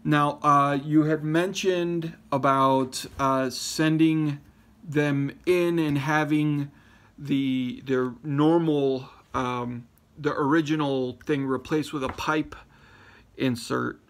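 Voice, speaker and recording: A man's voice: fairly high pitch, fairly even intonation, takes it slowly. A man raised in Florida talking casually about his lighters, rambling with many fillers and restarts. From Florida, born in Ecuador. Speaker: pipe-smoking YouTuber showing his lighters. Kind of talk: casual show-and-tell video reply.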